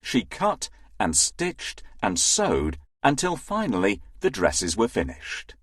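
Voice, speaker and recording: A man's voice: on the low side, huge pitch swings, slow, a bit bright, breathy edge, no room sound, studio quality. A man narrating a children's fairy tale as a scripted storyteller.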